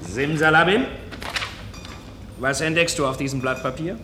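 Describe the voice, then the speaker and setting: A man's voice: on the high side, moderate pace, natural voice. A man acting a flippant, teasing laboratory chemist in a German radio drama. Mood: showy, teasing. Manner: wry, teasing. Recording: echoing room, faint noise.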